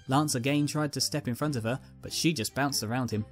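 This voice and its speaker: A woman's voice: a bass voice, brisk, faint music behind. A woman narrating a scripted fan fiction story as its reader.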